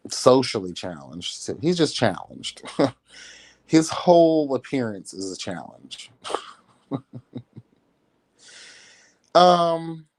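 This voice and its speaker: A man's voice: low voice, no room sound. A man giving casual celebrity gossip commentary as a livestream host, with a sassy, catty, blunt and slangy way of talking.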